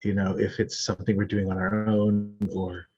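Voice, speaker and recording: A man's voice: lowish voice, monotone, normal speed, darkish tone, slightly rough. American man introducing himself, lo-fi. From Cincinnati, Ohio, US. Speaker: graphic facilitator and trainer. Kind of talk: casual self-introduction. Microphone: video call.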